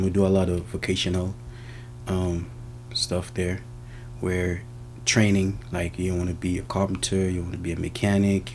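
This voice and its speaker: A man's voice: low voice, darkish tone, slight background noise. A man with a Jamaican accent giving casual answers in an interview. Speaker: interviewee, senior car technician.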